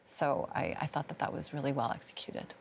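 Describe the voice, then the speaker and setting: A woman's voice: a bass voice, fairly quick, creaky, slight background noise. A woman on a debate panel, speaking earnestly and searching for words as she argues. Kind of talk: debate argument.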